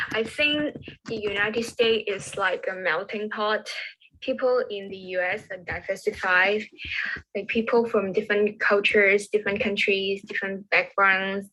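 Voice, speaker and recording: Young woman, bright voice. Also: medium pitch, steady tone, a little slow, rough recording. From Macau. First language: Cantonese. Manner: careful, simple learner's English in short plain sentences. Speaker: student interviewee. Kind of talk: recorded class interview.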